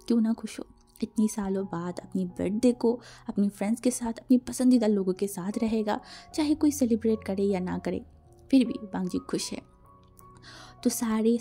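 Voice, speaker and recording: An Indian woman narrates in a casual, chatty way, like a channel host talking to followers. Her voice is mellow and gravelly, hoarse from a cold. She repeats words for emphasis, with music playing underneath. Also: on the high side. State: sore throat from a cold. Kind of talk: casual spoken fan fiction narration.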